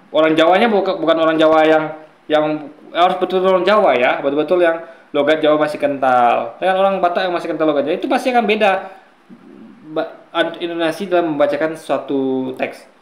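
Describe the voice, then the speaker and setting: Man, fairly high voice, argumentative commentary, lecturing, echoey room. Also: fairly quick. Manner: lecturing, repeatedly addresses viewers as guys. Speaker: commentator responding to a video.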